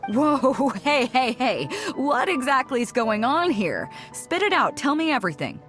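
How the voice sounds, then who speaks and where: Animated, commanding woman ship's captain. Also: high-pitched, normal speed, faint music behind. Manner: breezy, commanding. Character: confident, fair-minded female ship's captain. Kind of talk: video game voice acting.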